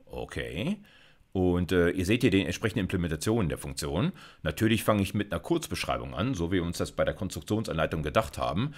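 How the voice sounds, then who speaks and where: Deep-voiced, very animated lecturer, a man. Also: a little fast. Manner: informal, conversational, with frequent check-in tags. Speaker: university computer science lecturer. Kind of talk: explanatory lecture to camera.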